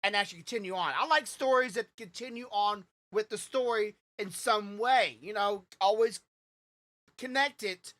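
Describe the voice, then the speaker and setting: A woman's voice: medium pitch, moderate pace, a bit bright. A woman co-hosting a podcast in casual chat, rambling with restarts and fillers. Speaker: podcast co-host.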